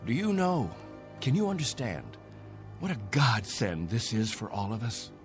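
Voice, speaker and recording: An actor playing a proud, long-stranded colony captain in a radio drama, grave and insistent, sometimes halting. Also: male voice, mid-range voice, very animated. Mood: yearning. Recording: radio drama with faint music behind.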